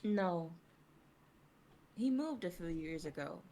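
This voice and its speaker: A young woman playing a nervous, haunted old schoolmate, halting and hesitant, in a dramatic script reading. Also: lowish voice, lively intonation, warm tone, slight background noise. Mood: grave, paranoid. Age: young adult.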